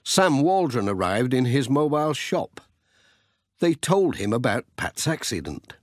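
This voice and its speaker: A man's voice: medium pitch, big pitch swings, unhurried, no room sound, studio quality. A man narrating a children's audiobook story.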